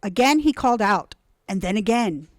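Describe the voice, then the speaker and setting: A woman reading a children's storybook aloud in an expressive, deliberate, dramatic way. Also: mid-range voice, no echo. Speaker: storybook reader.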